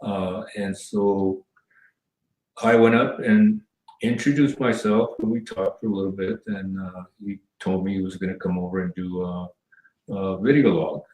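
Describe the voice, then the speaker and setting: A man from Southern California telling stories as an interview guest, rambling with many pauses and restarts. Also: sits low, fairly even intonation, a little mellow, recorded from a distance. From Southern California, near the Mexican border. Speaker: guest, former TV cameraman. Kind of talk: casual storytelling interview. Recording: echoey room, voice from off mic.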